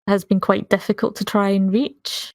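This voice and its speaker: A woman's voice: mid-range voice, flat delivery, normal speed, a little mellow, no echo. Dry, close-sounding woman playing a tabletop game. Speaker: tabletop roleplay player. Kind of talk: casual in-game narration and roleplay.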